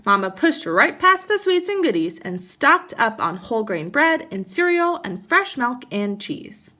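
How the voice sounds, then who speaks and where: A woman reads a children's story aloud like a teacher to young listeners. Her voice is bright and a little high, with very lively rises and falls. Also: measured pace. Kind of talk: animated children's storybook narration.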